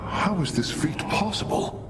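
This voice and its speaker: A man's voice: measured pace, close to an everyday voice. A male actor playing a learned, earnest alien engineer in a video game, speaking formally and precisely with dramatic weight. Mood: intrigued. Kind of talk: video game cutscene acting. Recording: loud game music behind.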